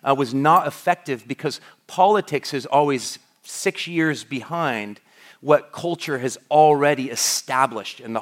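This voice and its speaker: A middle-aged man from the United States, preaching a sermon as a pastor in a conversational way, often restarting his sentences. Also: medium pitch. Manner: conversational, with frequent restarts and fillers.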